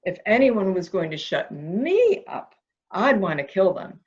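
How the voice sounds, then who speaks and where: An actress plays a calculating woman in an amateur mystery drama, coaxing and deliberate. Her pace is slow and her pitch swings widely. The recording is slightly dull and lo-fi. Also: sing-song, darkish tone. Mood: coaxing, goading. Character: calculating woman coaxing a dangerous childlike man. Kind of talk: amateur radio-play acting.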